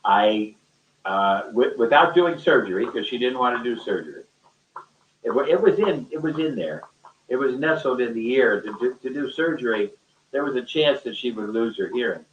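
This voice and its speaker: A man telling a rambling, conversational story in a halting, emphatic way, often repeating his phrases. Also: medium pitch, animated, slight background noise. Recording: roomy echo, distant mic, muffled sound.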